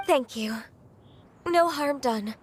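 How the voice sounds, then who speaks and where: An actress voicing a shy, polite young woman with horns, who speaks softly and hesitantly. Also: sits high, animated, slow. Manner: soft-spoken, hesitant, polite.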